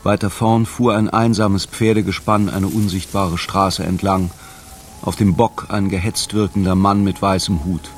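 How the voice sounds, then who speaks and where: A man's voice: low voice, steady tone, unhurried, soft background music. A man reading scripted literary narration as a narrator in a radio drama.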